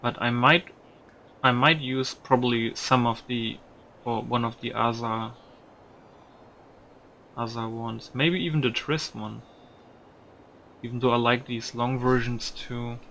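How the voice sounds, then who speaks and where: A man talks through a video game in a casual, musing way. His voice is bright and forward with a creaky, gravelly edge. There is faint noise behind him, and he swears lightly now and then. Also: medium pitch, steady tone, measured pace, long pauses. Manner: musing aloud, mildly profane. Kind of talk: casual gameplay commentary.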